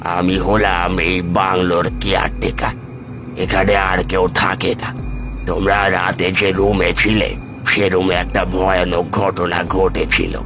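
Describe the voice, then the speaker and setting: Man playing a low, monotone old caretaker. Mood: grim, solemn. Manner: slow, solemn recounting. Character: grim elderly male bungalow caretaker. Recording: music under the voice.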